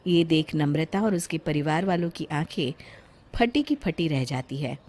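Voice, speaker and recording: A woman's voice: low-pitched, expressive, moderate pace, a little mellow. A woman reading scripted narration for a cartoon story, acting as the storyteller.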